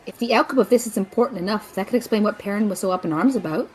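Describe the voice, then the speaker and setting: A woman's voice: mid-range voice, rapid-fire, slightly rough, natural voice, poor audio. A woman voicing a wry, cautious young student sidekick in an audio drama, dry and teasing. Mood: dry, wary.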